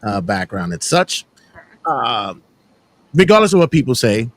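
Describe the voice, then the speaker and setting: A man's voice: medium pitch, a little slow. A man hosting a wrestling podcast, chatting casually and often repeating words as he starts a thought. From Puerto Rican family. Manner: animated, repeats words when starting sentences. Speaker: podcast host. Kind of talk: casual chat.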